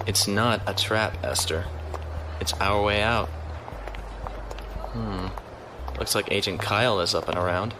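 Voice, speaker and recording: An actor playing a resourceful military lieutenant on the run in a science fiction audio drama. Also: male voice, fairly low pitch, unhurried, pauses often, noisy background, close to an everyday voice. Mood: calm, steely.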